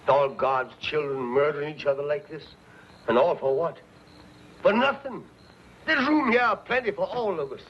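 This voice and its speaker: Actor as high-voiced pious frontier settler man. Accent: American. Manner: halting, earnest, devout. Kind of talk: old-time radio western acting. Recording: dull, faintly noisy radio recording.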